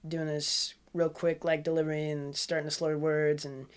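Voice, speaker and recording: Young guy, kinda high nasally voice, rambly, says like a lot. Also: flat delivery, moderate pace, smooth. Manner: rambling, many fillers like "like". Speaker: guest musician. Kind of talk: casual podcast chat.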